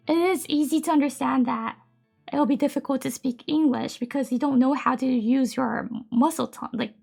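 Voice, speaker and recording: A woman's voice: sits high, vocal fry, a bit bright. A woman streamer whose native language is Japanese, chatting casually and giggling often, with many fillers. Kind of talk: casual live-stream chat.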